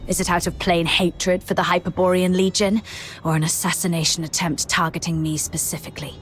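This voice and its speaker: A woman's voice: on the low side, flat delivery, fairly quick, lightly acted. A woman playing a stern young officer who speaks in a controlled, firm, questioning way. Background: faint music.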